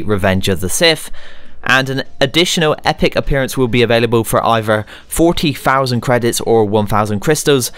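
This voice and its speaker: A man's voice: medium pitch, fairly bright tone, smooth, dry recording. A man presenting semi-scripted gaming news as a channel host, speaking to viewers directly and informally.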